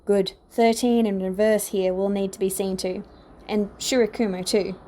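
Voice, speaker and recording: Woman playing a terse, gruff male teacher. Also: mid-range voice, fairly even intonation, normal speed, mellow, a little hiss or hum, close to an everyday voice. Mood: brisk, commanding. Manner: terse, commanding. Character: gruff, snappish thirty-year-old male teacher hero. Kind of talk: dramatic fan fiction reading.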